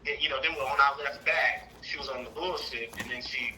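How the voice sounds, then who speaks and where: A thirty-year-old man talking casually on a call, telling a personal story in a rambling, animated way. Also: medium pitch, a little fast, crowd noise, rough recording. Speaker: caller seeking advice, trucking business owner.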